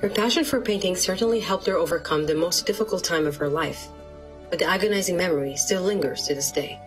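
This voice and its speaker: Female narrator, slightly low voice, smooth scripted read, light music bed. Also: fast talker. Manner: even, polished voice-over delivery. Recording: soft music underneath.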